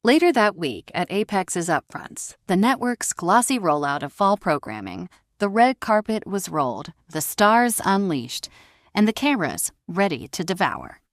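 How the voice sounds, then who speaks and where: A woman's voice: medium pitch, very animated, measured pace, no echo, studio quality. A woman narrating a scripted drama story in a dramatic style.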